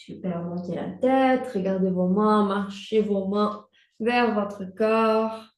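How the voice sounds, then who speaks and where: A young woman guiding a prenatal yoga class in slow, calm cues with breath prompts, recorded from a laptop mic across the room. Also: medium pitch. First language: Portuguese. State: pregnant. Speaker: yoga instructor. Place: room at home, instructing from a mat. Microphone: laptop mic at a distance. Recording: roomy, from far off the mic.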